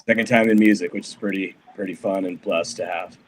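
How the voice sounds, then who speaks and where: Laid-back low-voiced man, noisy line. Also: fairly even intonation, a little fast. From Colorado. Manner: rambling, filler-heavy, laid-back. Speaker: musician guest. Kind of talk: casual podcast interview. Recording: noisy background on a remote line.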